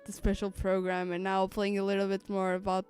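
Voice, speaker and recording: A woman's voice: mid-range voice, monotone, a little fast, a little mellow, smooth, no room sound, soft background music. A woman game streamer giving casual, playful live commentary with somewhat hesitant phrasing.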